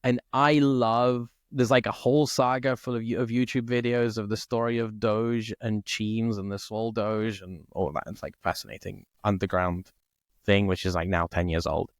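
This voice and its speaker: A man from Italy co-hosting a podcast, chatting casually and thinking out loud, often checking in with "right?". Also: fairly low pitch, level tone, moderate pace, no room sound, studio quality. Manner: thinks aloud, peppers speech with "like" and "right?". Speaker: podcast co-host. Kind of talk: casual conversation.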